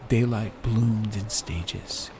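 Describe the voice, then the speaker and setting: A man narrates an atmospheric audiobook in a low voice. He reads in measured short phrases, pausing between them. A faint bed of ambience sits behind him. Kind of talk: slow atmospheric scripted story narration. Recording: clear background ambient noise.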